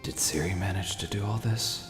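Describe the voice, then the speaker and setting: A man playing a wounded, weary monster hunter in an audio drama, gruff and terse in a gravelly murmur. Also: low voice, a little fast, in a large hall, music in the background, natural voice. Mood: weary, confused. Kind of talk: fan audio drama acting.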